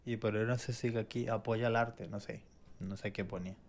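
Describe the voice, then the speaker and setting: A man's voice: on the low side, fairly even intonation, brisk. Venezuelan-born guy on a faith podcast, earnest testimony, lots of restarts. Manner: earnest, reflective, with many restarts and repetitions. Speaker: co-host.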